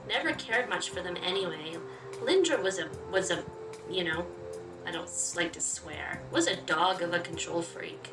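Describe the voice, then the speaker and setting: A woman's voice: lowish voice, normal speed. A chatty, reactive woman reading a game's story aloud and commenting as she plays. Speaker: game player reading aloud. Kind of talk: let's play commentary with expressive reading of story text. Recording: roomy, distant, music behind. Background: game music.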